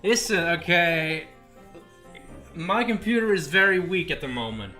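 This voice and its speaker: Male streamer, casual chat, fairly high voice, very animated pitch, playful, self-deprecating. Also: a little slow, faint music behind. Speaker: game streamer. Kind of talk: casual livestream chat while playing.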